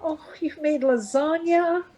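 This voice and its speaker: An actress playing a lighthearted, playfully teasing middle-aged wife and mother in a naturalistic radio play, with a husky voice. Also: high voice, unhurried, dark tone. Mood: affectionate, hurried.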